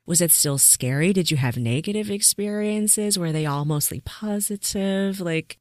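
A woman's voice: fairly low pitch, normal speed, darkish tone, no echo, clean studio sound. A woman hosting a casual podcast interview, encouraging and enthusiastic as she asks about her guest's experiences. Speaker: podcast host.

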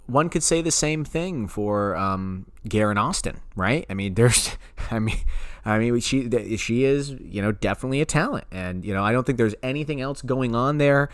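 Male podcast co-host, animated pitch, dry close sound, halting and repetitive mock-serious questioner. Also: no echo.

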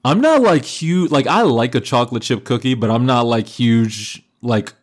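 A man's voice: mid-range voice, lively intonation, normal speed. A young man co-hosting a casual sports podcast, rambling through tangents with lots of fillers. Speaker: podcast co-host.

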